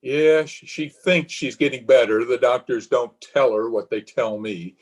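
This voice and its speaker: A man's voice: medium pitch, unhurried, natural voice. A man playing a bitter, weak-willed philandering husband in an old-time radio melodrama, agitated and theatrical. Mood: pleading, infatuated. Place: remote recording over Zoom.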